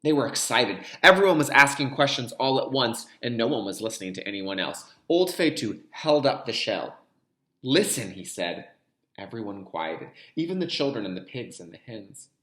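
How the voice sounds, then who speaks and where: A man reading aloud as a kindergarten teacher, expressive and dramatic, pausing to explain things to young listeners. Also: medium pitch, echoey room. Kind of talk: read-aloud storytelling with teaching asides.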